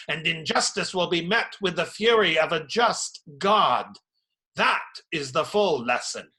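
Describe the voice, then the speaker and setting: An actor playing an earnest, righteous priest who admonishes firmly in a radio play. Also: male voice, on the high side, bright, forceful tone, heavily acted.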